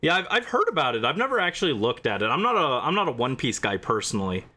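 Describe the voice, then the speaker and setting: A man's voice: mid-range voice, big pitch swings, fast, sharp tone. A man hosting a gaming livestream, chatting casually and playfully as he responds to his viewers. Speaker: livestream host.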